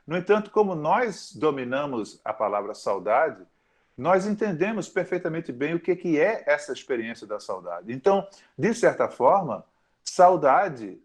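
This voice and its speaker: A man giving an academic lecture, thoughtful, pausing often and correcting himself mid-phrase. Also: a little high. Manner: thoughtful, with frequent pauses and self-corrections.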